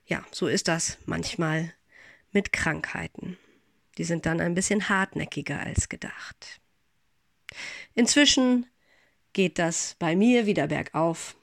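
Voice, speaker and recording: A middle-aged woman pastor speaking close to the mic in a dry, dead room. Her delivery is calm, gentle and reflective, and her voice carries a slight congestion from a recent infection. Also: on the low side, takes it slowly, creaky, long pauses, no room sound. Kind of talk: spoken devotional.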